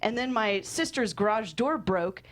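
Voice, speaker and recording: A woman speaks as a guest screenwriter in an easygoing interview. She is giggly and quick to poke fun at herself, with a mid-range voice. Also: medium pitch, lively intonation. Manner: self-deprecating, giggly. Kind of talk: casual interview chat.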